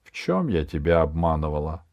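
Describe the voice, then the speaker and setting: A man voicing a proud, passionate female djinn in a storybook tale, calmly defiant. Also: extremely low pitch, brisk, vocal fry, voice pushed down, full character voice. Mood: calm defiance. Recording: music behind the reading.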